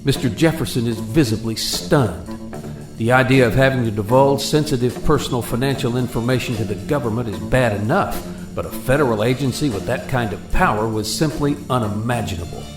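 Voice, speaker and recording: A man's voice: mid-range voice, brisk. A man narrating a scripted radio play in a solemn, dramatic storytelling manner. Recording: roomy echo. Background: music.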